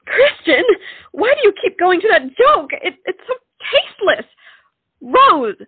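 A woman playing a high-voiced, insistent young sweetheart. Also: sing-song, unhurried, no room sound, heavily acted. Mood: exasperated. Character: earnest, romantic, insistent young lady in love. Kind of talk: stage comedy acting. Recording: tinny, narrow-band sound.

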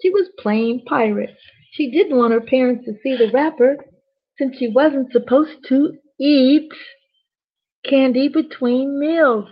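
Husky, higher-pitched woman reading a children's story. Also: slow. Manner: theatrical, exclamatory, chatty asides to children. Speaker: bedtime storyteller. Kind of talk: expressive children's story reading with asides to listeners. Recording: dull, lo-fi sound.

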